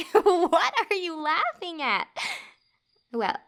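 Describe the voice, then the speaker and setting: A high, girlish-pitched young fantasy wanderer, acted by a woman for an ASMR roleplay. She takes her time with each phrase, teasing and playful yet a little guarded, often halting mid-thought. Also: sing-song, heavily acted.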